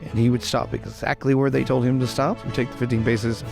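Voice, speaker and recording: A man's voice: medium pitch, little pitch movement, fairly quick, gravelly, music in the background. A man playing a tabletop game, casually narrating what his character does.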